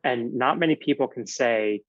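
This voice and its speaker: A man's voice: medium pitch, normal speed, no room sound, highs cut off. Italian-born man, earnest podcast guest. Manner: earnest, explains in long hedged runs with fillers. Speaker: naturopathic physician guest. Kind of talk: casual podcast interview.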